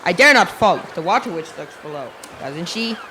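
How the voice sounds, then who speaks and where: Girl playing a timid, bright-voiced schoolmaster. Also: on the low side, animated, moderate pace, a little hiss or hum, poor audio. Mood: nervous, earnest. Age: young teenager. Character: timid, skinny, superstitious male schoolmaster. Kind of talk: school radio play acting.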